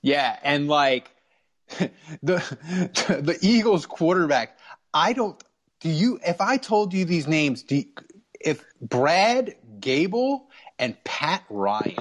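A man hosting a sports fan show, talking casually and a little haltingly as he circles back and repeats himself. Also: medium pitch, fairly bright tone.

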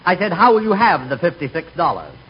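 Lively, high-ish fussy bank clerk. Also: male voice, fast talker, breathy edge, heavily acted. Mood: amazed. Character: fussy, polite male bank clerk, easily amused and astonished. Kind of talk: 1940s radio comedy acting. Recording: muffled, noisy 1940s radio recording.

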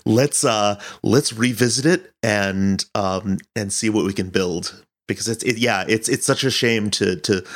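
A man who directs audio fiction, talking casually in an interview in a thoughtful, halting way as he searches for words. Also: fairly low pitch.

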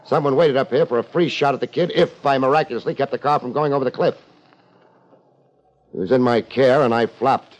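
An actor playing a tough, wisecracking American private detective in a 1950s radio drama, with a sardonic, clipped delivery. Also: male voice, medium pitch, fairly quick, lightly acted. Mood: angry, demanding. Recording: dull old 1950s radio recording.

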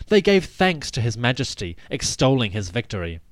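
A man giving a scripted, dramatic narration of ancient history in a measured, solemn storytelling manner. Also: medium pitch, big pitch swings, no echo. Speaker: podcast host and narrator.

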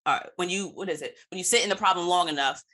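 Woman podcast host, pretty low voice but bright and forceful, casual chat, swears a lot. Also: quick pace. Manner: informal, emphatic, swears freely.

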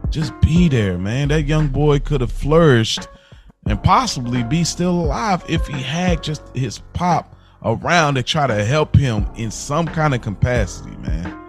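A man's voice: mid-range voice, lively intonation, measured pace, soft background music. An American man co-hosting a podcast in casual, earnest, slangy talk, repeating phrases for emphasis. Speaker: podcast co-host. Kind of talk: casual chat.

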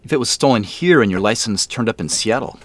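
A man's voice: lowish voice, animated, fast, fairly bright tone. An actor playing an awkward, modest businessman in his late thirties in an audio drama, hesitant and self-deprecating. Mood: careful, concerned. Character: awkward, modest late-thirties man running a tech company. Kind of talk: audio drama dinner dialogue.

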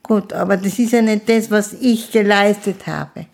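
A woman in her fifties, a guest in an interview, with a gravelly, creaky voice at a middle pitch. She speaks slowly and haltingly and often restarts her sentences. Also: a little mellow. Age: 50s. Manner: slow, halting speech with restarts. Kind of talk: casual conversation.

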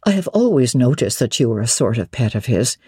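A woman's voice: very deep voice, very animated, a little fast, mellow, slightly rough, no room sound, clean studio sound, pitched below the performer's own voice, full character voice. A woman voicing an elderly widowed housekeeper who is bewildered and cautious, speaking in a hesitant, fretful way in a classic novel reading. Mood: gently cautioning. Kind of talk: dramatic audiobook reading of classic literature.

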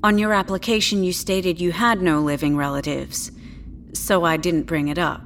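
A futuristic clinic doctor, played by an actress in an audio drama, with a fairly low female voice. She is measured and professional, hesitating politely, with tactful condescension. Faint ambient noise runs behind her. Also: fairly even intonation, close to an everyday voice. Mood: careful, tactful.

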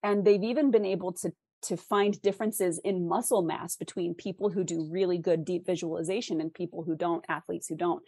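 A woman's voice: on the low side, fairly even intonation, fairly quick, hardly any pauses, mellow, slightly rough, no room sound. A woman speaking conversationally as an interview guest, explaining writing craft articulately with examples. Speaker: interview guest, fiction author.

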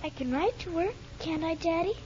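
A woman's voice: very high-pitched, expressive, fairly quick, darkish tone. A woman playing an earnest, trusting little girl, childlike and pleading, in a 1950s radio drama. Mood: trusting, hopeful. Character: earnest, trusting little girl who adores her daddy. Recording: muffled, noisy old radio recording.

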